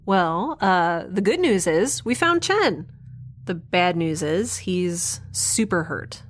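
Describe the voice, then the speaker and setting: A woman's voice: fairly low pitch, animated, takes it slowly. A woman in an audio drama playing a plucky, awkward young adventurer, talking casually with dry humour. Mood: wry, upbeat. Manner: casual, rambling, dryly humorous. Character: plucky, wry, awkward young woman scientist-adventurer narrating her log. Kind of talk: naturalistic audio drama acting.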